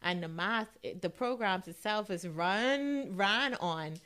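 A woman's voice: mid-range voice, expressive, slow, fairly bright tone, smooth. A woman hosting a radio interview in a casual, conversational way, often murmuring agreement as she listens. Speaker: radio show host interviewing a guest.

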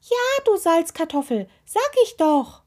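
A tiny, cheeky creature from a children's storybook, acted out by a woman, talks in a high, squeaky pitch. He is grumpy and excitable, blurting things out bluntly and indignantly. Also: unhurried, exaggerated voice. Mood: excited, insistent. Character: grumpy, cheeky, excitable little talking toe creature, male.

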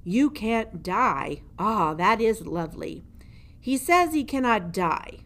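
Woman as a grim old jailer, loud and ominous. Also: mid-range voice, expressive, slow pace, voice pushed up. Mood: mocking. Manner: ominous, emphatic, refers to himself in third person. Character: grim, theatrical old male dungeon jailer. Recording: noticeable background noise.

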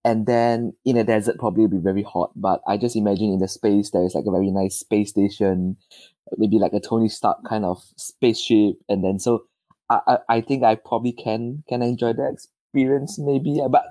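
A man's voice: on the low side, steady tone, brisk, warm tone, dry recording. A young man in his twenties from Malaysia, answering interview questions in a hesitant, modest way and often restarting his words. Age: 20s. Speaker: guest.

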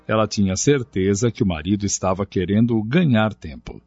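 A man reads scripted radio drama narration in a fairly low voice. Music plays behind him, and the audio is slightly dull. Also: animated, normal speed, darkish tone, husky, dry recording.

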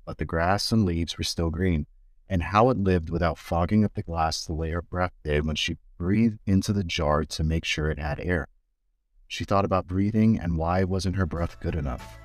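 A man's voice: sits low, moderate pace, dry recording, studio quality. A man giving a literary reading of poems. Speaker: guest reader of poems.

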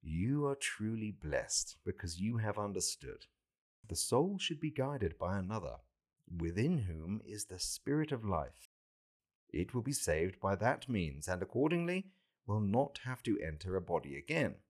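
A man voicing a calm, authoritative saviour who teaches a follower with solemn, deliberate pronouncements in a scripture reading. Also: on the low side. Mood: solemn teaching.

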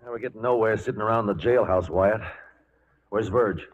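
Casting: male actor as plain-spoken frontier deputy, restless, fairly low voice, dull vintage radio sound. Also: normal speed, close to an everyday voice. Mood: restless, curious. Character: restless, questioning male frontier lawman brother. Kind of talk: 1940s Western radio drama acting. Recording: muffled 1940s radio recording.

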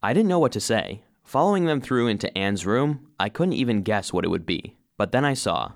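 Low-voiced, lively robot storyteller. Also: male voice, brisk, gravelly. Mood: uncertain, surprised. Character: earnest, eager male cleaning robot narrating his own story. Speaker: narrator. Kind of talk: scripted children's audio drama narration.

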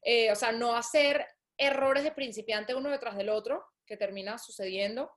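Woman, from Venezuela, high voice, lively, acts out quoted people, casual interview. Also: moderate pace. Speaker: guest, shoe designer.

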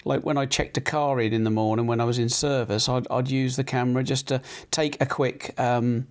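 A middle-aged man with a croaky throat, hosting a casual chat show, rambling with many fillers and restarts. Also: medium pitch, fairly even intonation, fairly quick.

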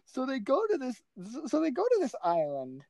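A woman co-hosting a podcast, recapping movies in a casual, rambling, excitable way and often interrupting herself. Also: high voice, moderate pace, gravelly, dry recording. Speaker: podcast co-host.

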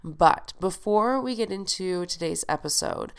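A woman's voice: on the low side, animated, unhurried, clean tone. A young woman hosting a podcast in casual, chatty talk, sounding nasal and stuffed up from a head cold and swearing freely. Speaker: podcast host.

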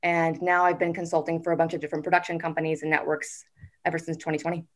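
Woman guest in her forties, lowish pitch, chatty and upbeat, lots of "um" pauses. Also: little pitch movement. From United States. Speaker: guest, TV network executive. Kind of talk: casual podcast interview.

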